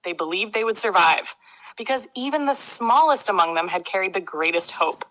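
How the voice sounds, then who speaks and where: A woman narrating a scripted children's story with clear storybook phrasing. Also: mid-range voice, lively intonation, normal speed, a bit bright, rough recording. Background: faint music.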